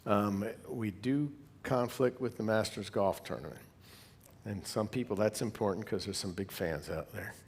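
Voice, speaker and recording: A man's voice: lowish voice, normal speed, a little hiss or hum. A man giving an informal, conversational slide presentation to fellow hobby club members, wandering into asides as he goes. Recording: long hall reverb.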